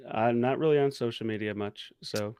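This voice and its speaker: A man's voice: mid-range voice, moderate pace, a little mellow, smooth, no room sound. A man joining a casual chat as a guest, speaking informally.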